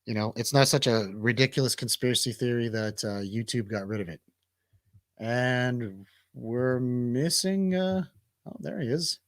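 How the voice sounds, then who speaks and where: A man's voice: mid-range voice, measured pace, no echo. Guy hosting a movie chat show, opinionated, chatty, dry close recording. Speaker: radio show host. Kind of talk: casual movie review chat.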